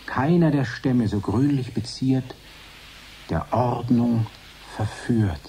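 An actor playing a troubled, withdrawn man in a radio drama, speaking a brooding, halting inner monologue. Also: mid-range voice, mellow, rough recording. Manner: halting, brooding, fragmentary phrases. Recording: faint hiss.

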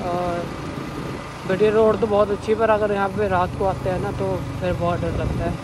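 A man's voice: sits high, little pitch movement, moderate pace. A young man chatting casually to viewers while riding a motorbike outdoors on a hill road. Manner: chatty, informal, addresses viewers directly. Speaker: vlogger. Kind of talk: casual travel vlog narration while riding. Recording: loud wind and road noise.

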